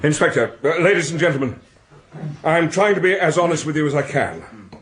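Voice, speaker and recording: A defensive academic in a radio drama. His voice sits on the high side and leaps about in pitch. He sounds flustered and formal, protesting haltingly. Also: animated, fairly bright tone. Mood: earnest pleading. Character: flustered, defensive male academic official under accusation.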